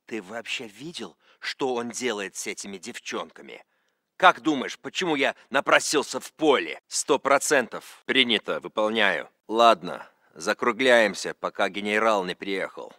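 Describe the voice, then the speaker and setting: A man voicing a gruff, cynical mercenary soldier in a video game. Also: mid-range voice, lively intonation, measured pace, sharp tone, no echo, clean studio sound. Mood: irritated, dismissive.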